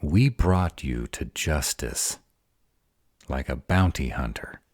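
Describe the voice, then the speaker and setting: Guy voicing a grumpy protective dragon boyfriend, really low and unhurried, fantasy roleplay audio. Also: expressive, a little mellow, no room sound, clean studio sound, exaggerated voice. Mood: indignant.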